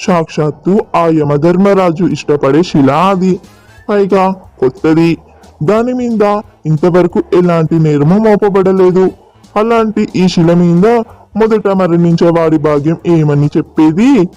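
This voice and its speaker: A man playing high-pitched, mellow folk-tale royals and sages. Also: a little slow, dry recording. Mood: persuasive, grave. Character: shrewd, stubborn old guru and a gullible, demanding king. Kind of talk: dramatised children's folk tale. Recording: muffled sound with faint music.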